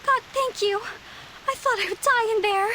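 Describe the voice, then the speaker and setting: A woman's voice: very high-pitched, normal speed, bright, forceful tone, slight background noise. A woman voicing a frightened but plucky young girl in a video game, earnest and dramatic. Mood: relieved, worried.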